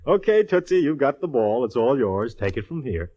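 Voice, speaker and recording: An American actor playing a gruff, sardonic police detective in a 1940s radio drama, bossy, teasing and slangy. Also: male voice, medium pitch, sing-song, normal speed, warm tone. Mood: teasing, assured. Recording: dull vintage 1940s radio recording.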